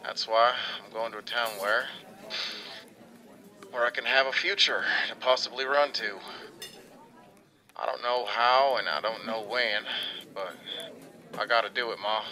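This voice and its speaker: A man playing an earnest young man who haltingly confides in his mother in an audio drama monologue. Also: medium pitch, fairly even intonation, sharp tone, low-quality recording. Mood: hesitant, pleading.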